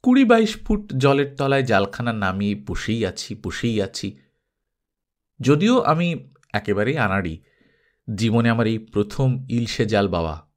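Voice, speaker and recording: A man reading a literary story aloud as an audiobook narrator, in an expressive, dramatic storytelling manner. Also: medium pitch.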